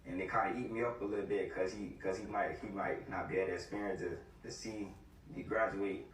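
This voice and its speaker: A young man speaking with heartfelt, casual, halting warmth about his father. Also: deep voice, fairly even intonation, a little hiss or hum. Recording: echoey room from far off.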